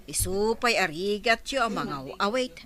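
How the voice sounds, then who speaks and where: An actress playing a matter-of-fact village councilor in an Ilocano radio comedy drama. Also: female voice, medium pitch, expressive, moderate pace, a crowd in the background, lightly acted. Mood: inquiring.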